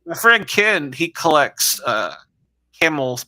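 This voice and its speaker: Bright-voiced easygoing male co-host. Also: on the high side, sing-song, takes it slowly, no echo. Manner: easygoing, jokey, laughs often. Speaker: photographer. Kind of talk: casual chat.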